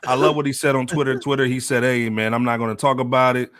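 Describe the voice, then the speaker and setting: A man with a mid-pitched voice talks like a podcast co-host. His talk is casual and slangy, with phrases repeated for emphasis, and the sound is dry and close. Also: flat delivery, quick pace, a bit bright, dry recording. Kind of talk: casual hip hop podcast chat.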